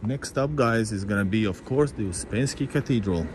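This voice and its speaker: A man whose first language is Russian rambles through a live tour on a city street, his fairly low voice full of hesitations and asides to the viewers. Loud traffic runs under everything he says. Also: moderate pace. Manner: rambling and hesitant, many fillers, keeps addressing viewers. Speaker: host giving a live walking tour. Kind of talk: live-streamed walking tour chat.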